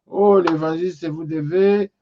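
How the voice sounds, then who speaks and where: A man hosting a TV talk show, speaking haltingly with frequent pauses. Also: fairly high pitch, fairly even intonation, dull audio, a little hiss or hum. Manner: hesitant, many fillers and restarts. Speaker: TV show host.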